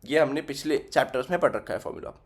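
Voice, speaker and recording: A man's voice: mid-range voice, animated, quick pace. A young man teaching a finance class, working through the numbers aloud and repeating figures as he goes, switching between Hindi and English. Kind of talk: recorded finance lesson.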